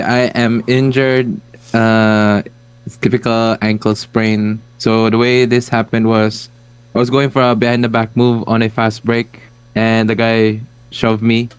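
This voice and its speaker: A young man, co-hosting a sports podcast with deadpan jokes. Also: lowish voice, clear, resonant tone. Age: 20s. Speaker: podcast co-host. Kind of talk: casual sports podcast chat.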